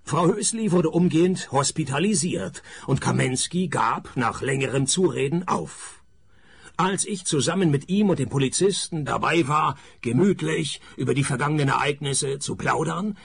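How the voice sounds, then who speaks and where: Creaky, sardonic private eye. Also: male voice, fairly high pitch, normal speed, heavily acted. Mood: wry narration. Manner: deadpan wit. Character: dry, sardonic male private detective narrating his case. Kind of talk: comic radio play narration and dialogue.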